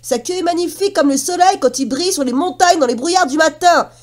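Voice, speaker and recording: A woman voicing a practical, eager male farm dog in a children's story, loud and emphatic. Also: very high voice, fast, voice pushed up. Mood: urgent barking.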